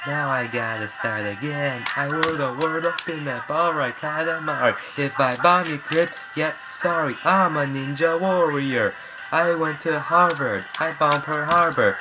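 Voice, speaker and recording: Young man singing over loud music. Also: fairly high pitch, measured pace, low-quality recording. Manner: sings casually over a backing track, chanting repeated hooks. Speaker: amateur singer. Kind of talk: singing along to a comic parody song. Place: small bedroom with bright yellow walls. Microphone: laptop webcam at close range. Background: loud backing music.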